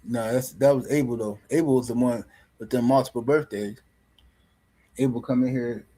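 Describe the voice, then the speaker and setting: A man's voice: medium pitch, steady tone, normal speed, warm tone, slightly rough, poor audio. A man hosting a boxing livestream in casual commentary, loose and teasing, rambling and repeating words as he reads chat messages. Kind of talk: casual live-stream commentary.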